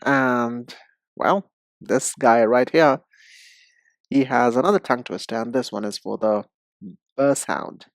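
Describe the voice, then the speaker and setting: A man teaching English pronunciation, explaining step by step in a deliberate, didactic way and speaking directly to the learner. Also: medium pitch, no echo, studio quality. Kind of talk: instructional lesson with demonstrations.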